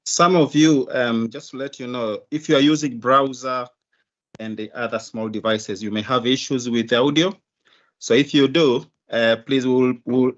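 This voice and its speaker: A man's voice: medium pitch, highs cut off. A man acting as technical operator, giving practical instructions to viewers during an online stream.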